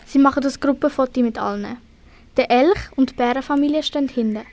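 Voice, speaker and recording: A woman's voice: high voice, brisk, darkish tone. A woman with a Swiss German accent narrating a scripted children's story for an amateur radio play.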